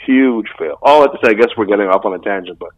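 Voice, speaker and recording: Brief: deep-voiced man, relaxed slangy co-host, thin lo-fi line. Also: racing, no room sound. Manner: laid-back slangy talk, laughs often. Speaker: podcast co-host. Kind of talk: casual chat. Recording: dry, tinny phone-like sound.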